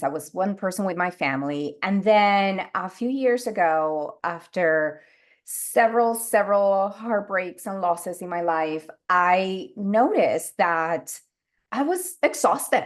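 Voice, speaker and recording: A woman in her forties from Venezuela, a guest on a podcast, talking candidly and expressively with animated quoted asides. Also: medium pitch. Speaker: guest, life coach and actor. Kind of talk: casual podcast conversation.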